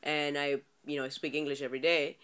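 Bright-voiced man in casual chat. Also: fairly high pitch, little pitch movement, quick pace, clean tone.

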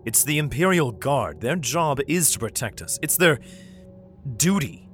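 A man's voice: mid-range voice, very animated, measured pace, a bit bright, soft background music. An actor playing a weary, protective father and guarded survivor, with tense, brooding narration in an audio drama. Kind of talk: audio drama acting with first-person narration.

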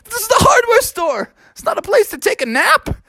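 A storyteller voicing a big, boisterous father bear who talks loudly and laughs heartily, pitched well above the teller's own voice. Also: wildly swooping pitch, moderate pace, no echo, exaggerated voice.

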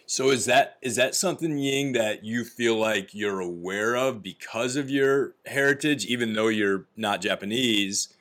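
A man's voice: mid-range voice, unhurried, hardly any pauses, a bit bright. A man hosting an interview podcast, asking questions in an upbeat, encouraging way. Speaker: podcast host.

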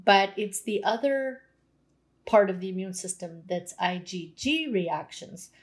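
A woman's voice: mid-range voice, animated, unhurried. A Canadian woman, a naturopathic doctor, explaining nutrition in a conversational interview with everyday examples.

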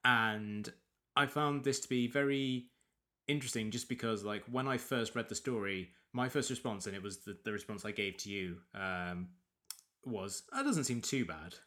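A man's voice: fairly low pitch, normal speed, fairly bright tone. A man hosting a casual film podcast, a little sniffly from allergies, rambling with many restarts. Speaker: podcast host.

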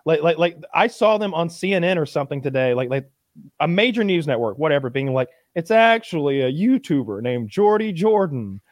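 A man's voice: a little high, very animated, a little fast, dry recording, clean studio sound. A man co-hosting a casual podcast chat, rambling and stacking filler words as he talks. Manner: rambling, repeats "like" often. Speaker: podcast co-host.

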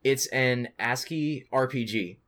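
A man with a medium-pitched voice co-hosts a gaming podcast. He chats casually and excitably, peppering his talk with slang and swearing. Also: takes it slowly. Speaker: podcast co-host. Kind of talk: casual chat about video games.

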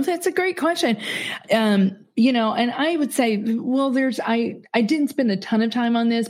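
Female business leader, interview guest, warm mellow voice, earnest and halting. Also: medium pitch. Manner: earnest, hesitant, many fillers. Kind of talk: casual interview chat.

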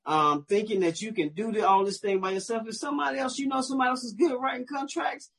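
Male co-host, husky tone, easygoing proverb-driven business advice. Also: high-pitched, fast talker, a bit bright. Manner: folksy, explains with proverbs. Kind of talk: live online panel discussion.

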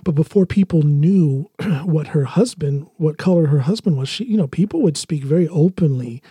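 A man's voice: on the high side, normal speed, warm tone, husky, no echo. An American man hosting a podcast, talking casually and telling personal stories. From United States. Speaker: podcast host. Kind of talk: casual conversation, personal storytelling.

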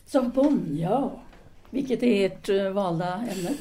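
A woman's voice: mid-range voice, animated, mellow, natural voice. A woman playing a composed, probing intellectual and dutiful lover in an audio drama, speaking with formal restraint. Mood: probing, restrained.

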